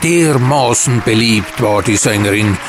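A man's voice: mid-range voice, expressive, measured pace, music in the background. A man hosting and narrating from a script in formal, ornate phrasing. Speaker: narrator and host of audio drama show.

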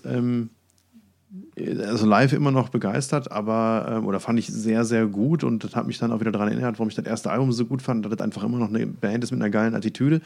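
A man's voice: lowish voice, creaky, a little mellow. A man co-hosting a casual music podcast, colloquial and hesitant, often correcting himself as he goes. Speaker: podcast co-host.

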